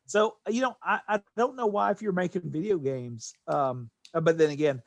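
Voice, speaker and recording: Male podcast co-host, slightly high register, enthusiastic and emphatic, casual chat, very dry close sound. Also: normal speed, no echo. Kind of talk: casual podcast chat about games and films.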